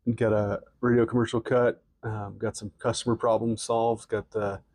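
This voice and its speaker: A man's voice: lowish voice, flat delivery, normal speed, a little mellow, no room sound. Joking man in his thirties, dry studio. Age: 30s. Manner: joking, teasing, laughs often. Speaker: podcast co-host. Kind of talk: casual chat.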